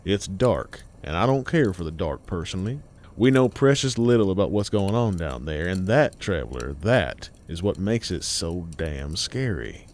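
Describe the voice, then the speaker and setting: A man narrating a scary story in a folksy, colloquial way, theatrical and full of dramatic pauses. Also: deep voice, big pitch swings.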